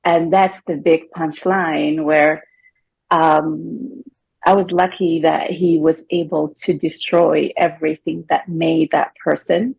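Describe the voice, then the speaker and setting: A woman's voice: deep voice, level tone, slow pace, creaky, a little mellow, slightly rough, low-quality recording. A middle-aged woman from Morocco with a Moroccan accent, a guest coach chatting loosely with filler words and restarts. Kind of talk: casual interview talk.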